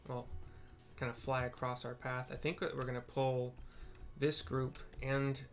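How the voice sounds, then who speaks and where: A man's voice: medium pitch, level tone, a little slow, soft background music. Laid-back man giving casual gameplay commentary. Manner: laid-back, descriptive, informal. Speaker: gamer narrating a playthrough. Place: indoors at a computer.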